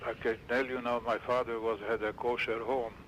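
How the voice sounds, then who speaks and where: A man in his seventies from Romania, recalling his childhood in an interview and often pausing to search for words. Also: mid-range voice, little pitch movement, a bit bright, slight background noise, low-quality recording. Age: 70s. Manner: halting, restarts and searches for words.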